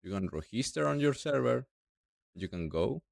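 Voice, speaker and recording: A young man from Mexico with a Mexican Spanish accent, speaking halting non-native English as he walks through a software tutorial step by step. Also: medium pitch, lively intonation, gravelly, no room sound, clean studio sound. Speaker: tutorial creator. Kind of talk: screen-recorded software tutorial.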